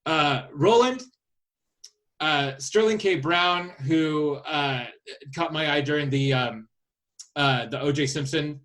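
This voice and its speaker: A man with a slightly high voice talks in a casual podcast style. He is hesitant and halting, thinking aloud as he works through his list of picks. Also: fairly bright tone. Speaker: podcast host. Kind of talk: casual chat, presenting a list of casting picks.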